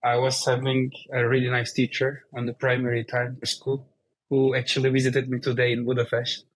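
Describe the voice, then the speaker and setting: Turkish-accented man speaking in a flat, even tone. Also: mid-range voice, moderate pace, poor audio. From Turkey. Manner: explains plainly in non-native English. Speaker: guest, company CEO. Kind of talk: casual interview conversation.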